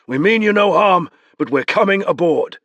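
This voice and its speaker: A man's voice: on the high side, animated, normal speed, vocal fry, a bit bright, slightly rough, no echo, far from the mic, highs cut off, pitched above the performer's own voice, exaggerated voice. A man doing an urgent, commanding warrior. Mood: strained. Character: determined male warrior leader under strain.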